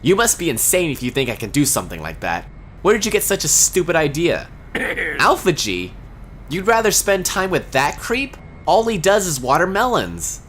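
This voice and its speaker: A woman doing a comedic parody dub, sarcastic and dramatic, swinging from deadpan mutters to frantic shouts. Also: low voice, moderate pace, a little hiss or hum. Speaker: parody voice-over creator. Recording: voice over loud background music.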